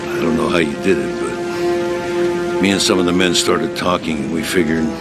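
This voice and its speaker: A man playing a gruff resistance soldier in a war video game, talking haltingly and awkwardly. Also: very deep voice, over loud music. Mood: awkward, conciliatory. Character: gruff, reluctantly apologetic male resistance soldier. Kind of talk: video game cutscene acting.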